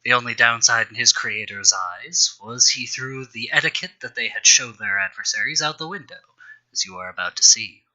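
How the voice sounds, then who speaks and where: Bright, forceful man reading aloud. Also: mid-range voice, moderate pace, low-quality recording. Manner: wry, self-deprecating. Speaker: host reading his own old fan fiction. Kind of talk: storytelling read-aloud with mocking asides.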